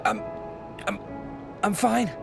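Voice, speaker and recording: A man's voice: high-pitched, sing-song, slow, gravelly, music in the background, full character voice. A man playing an earnest, determined teenage boy hero in a video game, with an urgent manner. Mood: shaken, urgent. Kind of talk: video game cutscene acting.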